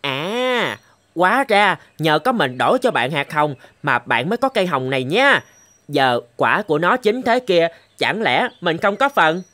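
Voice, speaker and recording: A man's voice: a little high, big pitch swings, unhurried, sharp tone, heavily acted. A man voicing a sly, greedy, selfish young monkey in a children's cartoon, scheming and smug. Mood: greedy, calculating.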